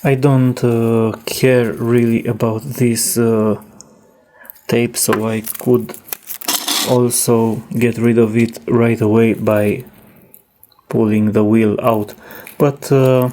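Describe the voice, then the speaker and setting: A man narrating a hands-on repair in a casual, halting way, thinking aloud as he works. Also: mid-range voice, level tone, dark tone, a little hiss or hum. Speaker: host demonstrating a cassette repair.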